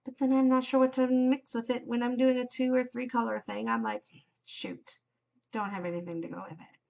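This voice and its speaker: A Canadian woman hosting her knitting podcast, chatting casually and enthusiastically in a loud voice. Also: a little high, animated, a little fast, vocal fry. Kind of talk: casual chat. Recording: slightly dull home recording.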